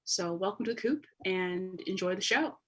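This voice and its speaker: A woman's voice: mid-range voice, animated, normal speed. A woman writer co-hosting a live show with a casual, gushing, informal introduction. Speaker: writer and co-host.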